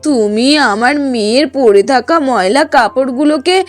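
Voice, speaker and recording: Earnest, high-pitched cartoon mother, played by a woman. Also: normal speed, soft background music, natural voice. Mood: placating. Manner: earnest, admonishing. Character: fair-minded, fretful middle-aged mother and mother-in-law. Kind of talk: Bengali cartoon voice acting.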